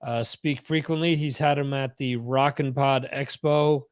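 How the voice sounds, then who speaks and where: A man co-hosting a casual chat, answering slowly and hesitantly. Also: medium pitch, fairly even intonation, smooth. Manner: slow, hesitant, measured.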